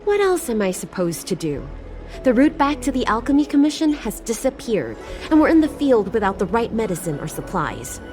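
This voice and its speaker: A woman's voice: fairly high pitch, very animated, moderate pace, a little mellow, faint music behind. A woman voicing a serious, resigned field medic in a video game, a little defensive. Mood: resigned, frustrated.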